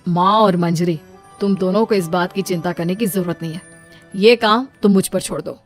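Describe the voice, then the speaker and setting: Calm helpful young husband, voiced by a woman. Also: on the low side, normal speed, soft background music, close to an everyday voice. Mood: reassuring.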